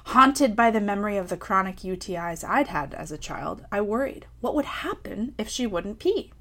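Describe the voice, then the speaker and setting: An American woman in her thirties reads a scripted personal essay aloud. Her voice sits at a medium pitch, and she tells it like a story, measured but expressive. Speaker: writer reading own essay.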